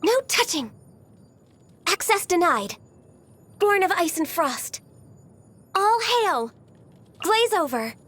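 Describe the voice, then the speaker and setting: A woman playing a gentle, shy, dutiful half-qilin secretary in a video game, speaking politely and earnestly in a formal, apologetic way. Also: very high-pitched, big pitch swings, slow pace, long pauses, heavily acted. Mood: urgent, battle cries. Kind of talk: video game character voice lines.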